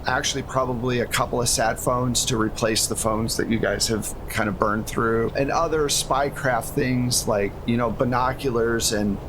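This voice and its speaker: Man narrating over a clearly humming background. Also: moderate pace, few pauses. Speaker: game master. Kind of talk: casual tabletop game narration. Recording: steady low rumble and hum behind voice.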